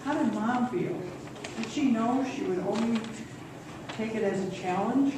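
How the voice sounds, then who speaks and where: A woman's voice: a little high, measured pace, natural voice. A woman playing an earnest young daughter in an amateur radio play, reading her lines plainly. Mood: pensive. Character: earnest young daughter eager to join the Navy. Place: echoey room at a senior center. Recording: roomy echo, distant mic, clear noise.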